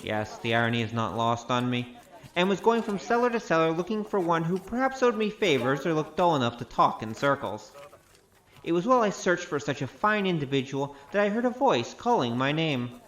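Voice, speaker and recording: A man's voice: fairly high pitch, very animated, a little fast, fairly bright tone, low-quality recording. A male actor playing a roguish, wry storyteller with a grandiose, theatrical manner in a comic audio drama. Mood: wry narrating. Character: roguish, boastful storyteller, wry poor adventurer. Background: music.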